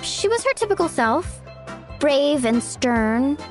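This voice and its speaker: A woman voicing a sincere, expressive young woman in a video game. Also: sits high, slow, faint music behind, exaggerated voice. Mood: wistful.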